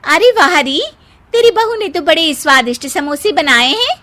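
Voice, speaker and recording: A woman voicing a chatty, outspoken older party friend, exclamatory and gossipy, in an animated moral story. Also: high voice, moderate pace, pitched above the performer's own voice. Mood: admiring, teasing.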